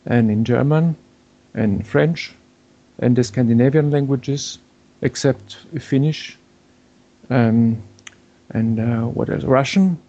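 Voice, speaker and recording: A man's voice: medium pitch, slow pace, pauses often, dark tone, muffled recording. A man, an English speaker, answering interview questions hesitantly and searching for words. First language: Polish. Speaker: guest blogger. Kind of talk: remote interview.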